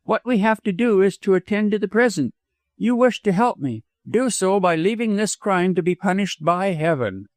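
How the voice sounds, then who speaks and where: A proud young widow played by a male reader in a dramatized audiobook. The pitch is lifted well up, and the delivery is emphatic, wilful and quick-tempered. The sound is dry and close. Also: expressive, dry recording. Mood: petulant, commanding. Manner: emphatic, imperious.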